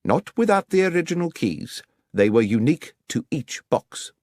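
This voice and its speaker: A man's voice: on the high side, sing-song, normal speed, a little mellow, no echo, clean studio sound, voice pushed up. A man voicing a dutiful police inspector in an audiobook, reporting facts earnestly and deferentially.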